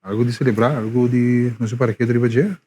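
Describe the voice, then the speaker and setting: A man's voice: medium pitch, slightly rough. Chatty Caribbean morning radio host, man. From Curaçao. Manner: lively, repetitive, halting with fillers. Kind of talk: casual live radio chat.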